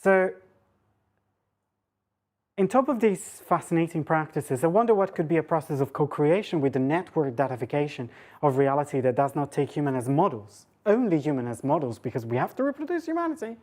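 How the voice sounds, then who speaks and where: A woman's voice: low-pitched, lively intonation, fairly quick, darkish tone. A woman giving an academic talk, rambling and self-deprecating as she thinks aloud. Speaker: lecturer and speaker. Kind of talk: academic talk with audience questions.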